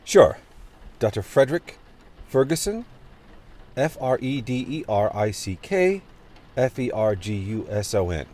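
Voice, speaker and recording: An actor playing a formal chief researcher being interviewed in an audio drama. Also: male voice, mid-range voice, big pitch swings, a little slow, pauses often, darkish tone. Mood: cooperative, formal. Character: chief researcher at a synthetic biology lab, formal. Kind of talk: audio drama acting, recorded interview scene.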